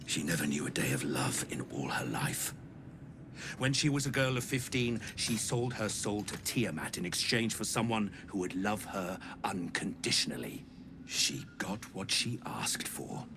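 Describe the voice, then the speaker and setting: An actor playing a gruff, wry game trader who tells grim tales in a blunt, folksy way. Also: male voice, low voice. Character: gruff unhurried male trader, sardonic messenger telling grim soul stories. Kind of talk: video game character dialogue. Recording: constant noisy ambience behind voice.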